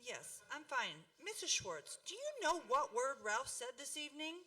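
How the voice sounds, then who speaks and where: A woman's voice: high-pitched, big pitch swings, normal speed, bright, forceful tone, full character voice. A woman playing a fussing, anxious but firm mother in a theatrical radio play. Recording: distant microphone, music behind.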